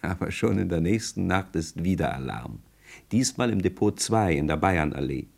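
Deep-voiced wry radio storyteller. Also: male voice, lively intonation, normal speed, vocal fry, full character voice. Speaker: narrator. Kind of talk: lively present-tense radio play narration.

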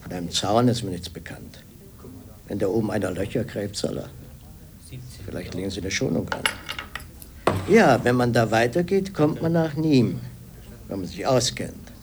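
An actor playing a gruff hotel local in a radio play, curt and dismissive as he gives directions. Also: fairly low pitch, expressive, normal speed, long pauses, background noise. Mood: gruff indifference.

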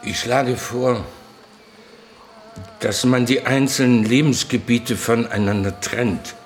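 An actor playing a methodical man at a war council in a radio play, deliberate and pausing weightily. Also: mid-range voice, steady tone, a little hiss or hum, close to an everyday voice. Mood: deliberate, businesslike. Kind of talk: German radio play acting.

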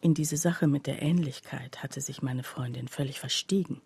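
An actress playing a skeptical woman confiding about her friend in a radio play, her voice husky and breathy and her delivery hushed. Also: a bass voice, normal speed, full character voice. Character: skeptical female friend recounting her friend's odd behaviour. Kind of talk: literary radio play narration.

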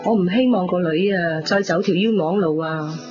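Woman acting a stern, sharp-tongued Cantonese mother, muffled recording. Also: on the low side, normal speed, natural voice. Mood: stern, guarded. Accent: Hong Kong Cantonese. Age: middle-aged. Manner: pointed, sarcastic, controlled. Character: protective, sharp-tongued middle-aged mother, guarded and stern. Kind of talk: 1990s Hong Kong radio drama acting. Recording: dull 1990s radio recording, music behind.

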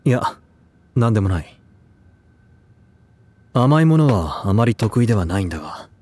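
An actor playing a stoic, reserved teenage schoolboy, speaking calmly and with understatement in an audio drama. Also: male voice, fairly low pitch, normal speed, a little hiss or hum. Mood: calm, subdued. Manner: calm, understated.

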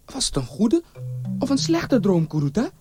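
A man's voice: high voice, huge pitch swings, moderate pace, music in the background, pitched above the performer's own voice. A man voicing a worried, trusting village wife and mother in a story, earnest and questioning. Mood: concerned, curious. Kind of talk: storybook character voice.

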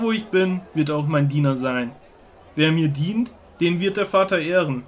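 A man playing a solemn, earnest male prophet and teacher in an audio drama of the Gospel, speaking in measured declarations. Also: a little high, a little hiss or hum, close to an everyday voice. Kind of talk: audio drama Bible dialogue.

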